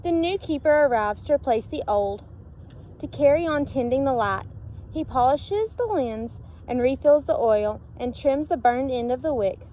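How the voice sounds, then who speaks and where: High female voice, picture book read-aloud, expressive, sing-song, engaging young listeners with questions, noisy background. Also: moderate pace. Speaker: storybook reader. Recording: steady background noise.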